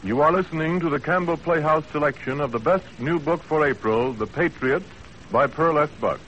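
A man's voice: on the high side, normal speed. A man announcing a programme in 1930s radio style. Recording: dull, noisy 1930s radio recording.

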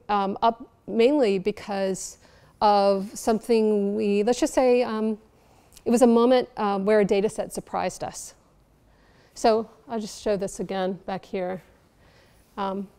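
A middle-aged woman giving a conference lecture as keynote speaker, halting and emphatic, leaning on key words. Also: mid-range voice, fairly even intonation, dark tone, smooth. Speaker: keynote speaker, architect and researcher. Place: large lecture auditorium, speaking from a lectern on stage.

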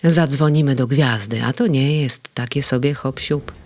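A reader voicing a gentle, kindly male sandman in a children's audio play, speaking softly and calmly. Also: mid-range voice, normal speed, slight background noise, close to an everyday voice.

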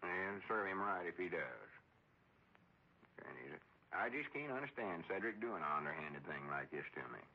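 An actor playing a self-important, grumbling old storekeeper with a folksy rural Southern American drawl, in radio comedy style. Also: male voice, low voice, very animated, normal speed, breathy edge, full character voice. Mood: aggrieved. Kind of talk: 1930s-40s radio comedy acting. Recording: dull old radio recording.

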